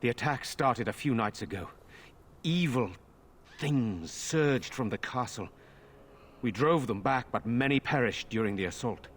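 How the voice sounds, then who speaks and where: A man's voice: medium pitch, expressive. A male actor playing a formal, courteous lord burdened with protecting his village in a fantasy video game. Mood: grave, urgent. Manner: formal, measured. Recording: game audio with faint ambient noise.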